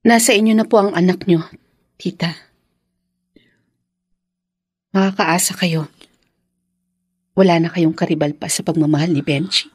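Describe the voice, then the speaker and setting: A woman playing a gentle, dutiful young mother in a radio drama, tender and respectful with a hesitant edge. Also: lowish voice, fairly even intonation, moderate pace, long pauses, breathy edge, dry recording, clean studio sound. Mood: guarded, hurt. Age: young adult.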